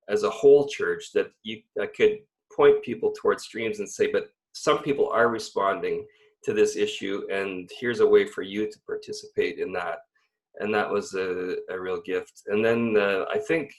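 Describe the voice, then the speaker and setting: A man commenting in an online discussion as a pastor would, earnest and deliberate. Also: sits low, low-quality recording. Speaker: former church pastor, panel participant. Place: online video call.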